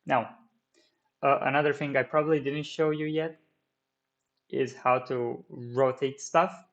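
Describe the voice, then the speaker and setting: A man's voice: medium pitch, fairly even intonation, unhurried, a bit bright, highs cut off. A man whose first language is Romanian, walking viewers through a 3D modelling tutorial at his desk, with many fillers and restarts. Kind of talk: screen-recorded software tutorial.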